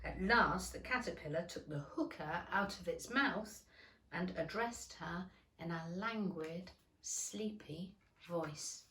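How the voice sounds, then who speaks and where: A woman reading a children's story aloud in a deliberate, expressive way. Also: on the low side. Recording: roomy, echoing indoor sound.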